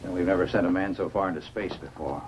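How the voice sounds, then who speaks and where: An actor playing a calm, weary scientist doctor in a 1950s science-fiction film, with a measured, reassuring manner. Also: male voice, sits low, background noise, natural voice. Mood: grave, reassuring. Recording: 1950s film soundtrack with music.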